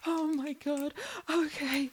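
Give me a woman playing a teasing young catgirl, breathy and purring, speaking very slowly. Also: high voice, little pitch movement, heavy background noise. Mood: playful, breathy. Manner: breathy whispers, frequent meows and purring growls. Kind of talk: solo audio roleplay.

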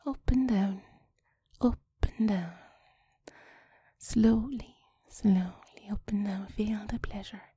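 A woman with an Irish accent playing a flustered, chatty wife in an intimate audio roleplay, halting and coaxing, often dropping into a breathy whisper. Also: mid-range voice, dark tone, dry recording, exaggerated voice. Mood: soft, coaxing.

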